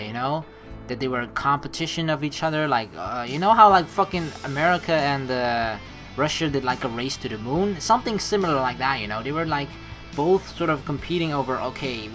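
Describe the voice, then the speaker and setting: A man narrates a game in an animated voice with lively swings in pitch. He slips between reading text aloud and loose, sweary, rambling commentary, with game music clearly playing behind him. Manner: profane, rambling, theorizing. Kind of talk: casual gaming commentary mixed with read-aloud narration.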